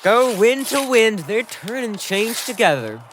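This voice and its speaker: A man's voice: sits high, very animated, a little slow, background noise. A man playing a fiery, defiant young warrior prince in a Shakespearean audio drama. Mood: bitter.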